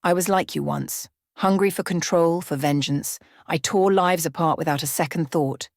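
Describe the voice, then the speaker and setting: Ancient vampire woman, female reader: measured, regretful, quietly dangerous, slightly low register, bedtime-story delivery. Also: no echo, clean studio sound, natural voice. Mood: grave, regretful. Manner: grave, measured, softly spoken.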